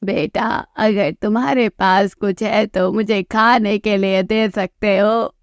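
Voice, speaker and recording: A woman's voice: mid-range voice, steady tone, slow pace, dry recording. A woman voicing a kindly, fatherly old male beggar in a cartoon moral story. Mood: mild, blessing.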